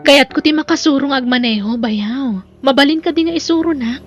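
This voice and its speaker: High-pitched Ilocano sister-in-law played by a woman. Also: brisk, muffled recording, music in the background, close to an everyday voice. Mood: eager, sweet. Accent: Filipino, Ilocano. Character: flirtatious woman in love with her brother-in-law. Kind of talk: Ilocano audio drama acting.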